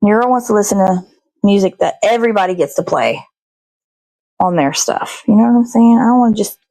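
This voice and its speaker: A woman's voice: mid-range voice, fairly quick, warm tone, low-quality recording. A woman chatting casually as she cooks in her kitchen, rambling and thinking aloud with little sing-song asides. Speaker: show host. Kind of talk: casual chat while cooking. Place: home kitchen.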